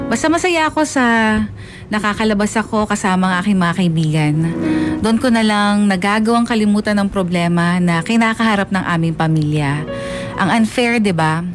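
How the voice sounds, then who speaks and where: A hurt, resentful young Filipino narrator of a confessional radio drama, voiced by a woman. The delivery is plaintive and reflective at a medium pitch, over a faint music bed. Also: natural voice. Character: hurt, resentful child telling of parents' separation.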